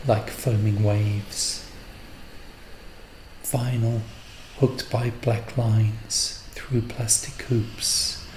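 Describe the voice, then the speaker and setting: Man, poetic narrator, lowish voice, measured phrasing, roomy echo. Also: a little mellow, a little hiss or hum.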